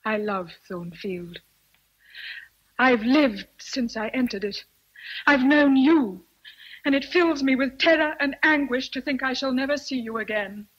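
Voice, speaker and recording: An actress playing a proud, passionate young British governess, earnest and intense, in a period radio drama. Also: female voice, high voice, unhurried, breathy edge, highs cut off. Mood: anguished.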